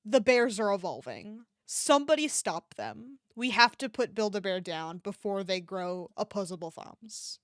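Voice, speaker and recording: A woman's voice: medium pitch, expressive, a little slow, few pauses, dry recording, studio quality. A woman livestreamer chatting casually and reacting to an online shop with playful, mock-dramatic exclamations.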